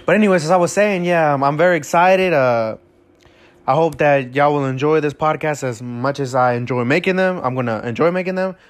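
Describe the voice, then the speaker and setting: A man, his voice a bit high for a man, introduces his casual podcast. He rambles and hesitates, filling gaps with ums and uhs. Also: a little fast. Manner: rambling, hesitant, many fillers. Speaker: podcast host.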